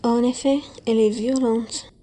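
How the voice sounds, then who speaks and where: A woman playing a prim, brisk bourgeois lady traveller in an amateur radio play, proper and self-assured. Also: a little high, steady tone, mellow.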